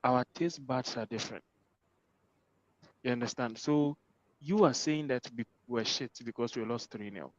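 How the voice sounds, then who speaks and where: Calm explaining man, dry muffled line. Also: medium pitch, normal speed, long pauses, no room sound. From Ghana. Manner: calm, explanatory, checks the listener understands. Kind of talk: casual debate.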